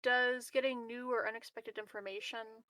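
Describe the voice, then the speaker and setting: A woman's voice: a little high, level tone, moderate pace, sharp tone, no room sound. A woman chatting casually as a tabletop game player, tentatively checking things as she goes.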